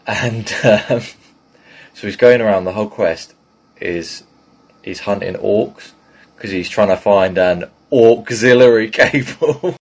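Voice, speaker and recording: A man's voice: lowish voice, slow, husky. A man casually telling a comic story in an amused, rambling manner.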